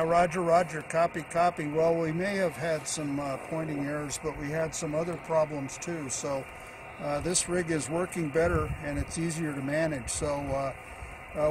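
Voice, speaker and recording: A man's voice: on the high side, fairly even intonation, normal speed. An older man working as an amateur radio operator, giving call signs and repeating short radio phrases. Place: outdoors on an open hilltop. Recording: noisy outdoor field recording.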